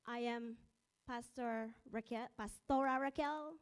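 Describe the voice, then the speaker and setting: A woman's voice: a little high, fairly even intonation, unhurried, smooth. A middle-aged woman pastor with a Filipino accent, whose first language is Ilocano, preaching a church sermon with nervous laughter and fervent prayer.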